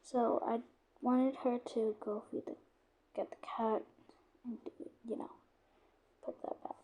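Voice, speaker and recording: A woman casually narrating a made-up day in first person, her voice sore and lowered, often dropping to a soft whisper as she rambles on. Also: little pitch movement, takes it slowly, slight background noise. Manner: rambling, with frequent "obviously" and restarts. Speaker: channel creator narrating a roleplay.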